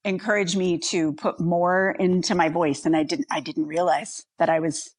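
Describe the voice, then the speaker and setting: A woman's voice: lowish voice, fairly even intonation, moderate pace, dry recording. A woman co-hosting a podcast in casual conversation. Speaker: podcast co-host.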